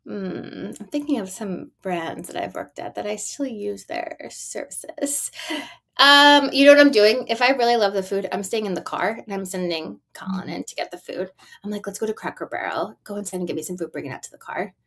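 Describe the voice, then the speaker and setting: A woman in her forties with a middle-pitched voice chats as a podcast co-host. She tells business news like a casual story, tossing in rhetorical questions and emphatic asides. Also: moderate pace, fairly bright tone. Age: 40s.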